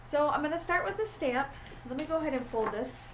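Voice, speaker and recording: A woman's voice: high-pitched, animated, a little fast, background noise. A woman with a stuffy, congested voice from a starting cold, giving a casual craft tutorial, chatty and full of ums. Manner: chatty with frequent ums and uhs. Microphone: camera mic.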